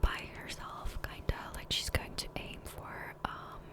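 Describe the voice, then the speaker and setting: A woman speaking in a soft, breathy whisper close to the microphone, rambling casually and self-deprecatingly as an ASMR gaming creator. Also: a little slow. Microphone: close USB condenser microphone.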